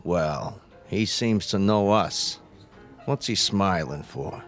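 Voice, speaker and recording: An actor playing a surly, threatening gangster boss in a radio drama, gruff, commanding and dismissive. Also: male voice, low voice, takes it slowly, faint music behind. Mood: suspicious.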